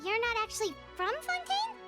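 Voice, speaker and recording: A woman voicing a tiny, curious, suspicious fairy companion in a video game, squeaky and full of questions. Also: very animated, a little fast, over music. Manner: exclaiming, questioning. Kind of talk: video game character acting.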